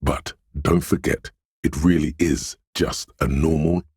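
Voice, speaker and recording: A man voicing a wry, erudite inner voice that narrates a detective's thoughts in a video game, deliberate and literary, with a gravelly husky tone. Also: extremely low pitch, steady tone, a little mellow, dry recording, studio quality, heavily acted. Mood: measured, wry. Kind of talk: scripted second-person narration for a role-playing video game.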